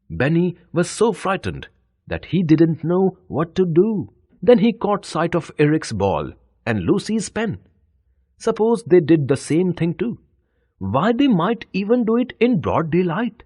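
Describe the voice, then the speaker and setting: A man narrates a children's story in a fairly high, slightly rough voice. His delivery is deliberate and expressive, with very animated intonation. The recording is dry and close. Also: no echo.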